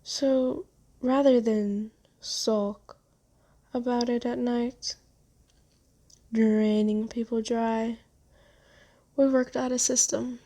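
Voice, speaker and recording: A woman playing a gentle, genteel vampire in an ASMR roleplay, soft-spoken, comforting and unhurried. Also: a little high, steady tone. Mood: patient explaining. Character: gentle, soothing, genteel vampire who bought a captive. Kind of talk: ASMR roleplay, scripted character acting.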